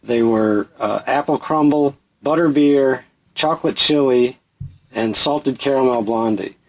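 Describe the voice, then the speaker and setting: Man, listener voice message, plain halting delivery, mid pitch. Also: poor audio. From Louisiana, USA.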